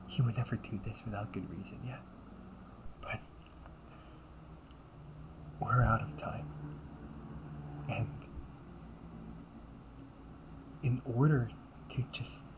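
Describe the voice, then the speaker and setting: A man playing a villainous older brother, tender, remorseful and obsessively resolved, in a hushed, deliberate audio roleplay monologue. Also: medium pitch, low-quality recording. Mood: soothing, coaxing. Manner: slow, hushed, often whispering, deliberate pauses.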